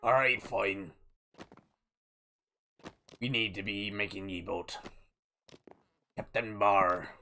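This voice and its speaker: A man voicing a gruff, boastful male pirate captain in heavy pirate dialect, over background music. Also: on the low side, pushed and bright, slight background noise, full character voice. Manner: pirate dialect, drawn-out growls. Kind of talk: gaming video role-play.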